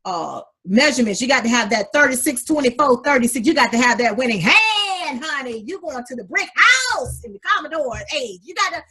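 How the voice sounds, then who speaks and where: Husky, sassy woman chatting with flair. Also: sits high, brisk, hardly any pauses. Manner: animated, sassy, calls listeners honey. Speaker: podcast co-host. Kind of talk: casual chat.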